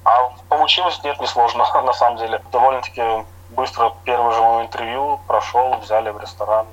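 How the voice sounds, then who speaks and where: A middle-aged man from Latvia, a chef, answering interview questions in an unhurried, plain way with soft hedging fillers. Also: mid-range voice, fairly even intonation, dull audio.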